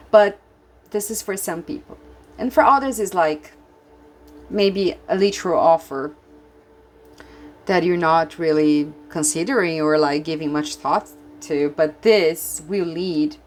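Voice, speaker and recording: A Brazilian woman with a Brazilian accent, whose first language is Portuguese, giving a tarot reading to viewers in an excitable way, often restarting her sentences. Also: lowish voice, a little hiss or hum. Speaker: tarot reader.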